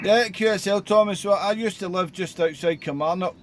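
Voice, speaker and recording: A man's voice: high voice, fairly quick, noisy background. A man from Glasgow chatting casually on a home radio station by the sea, friendly, with many filler sounds. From Scotland. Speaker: radio amateur.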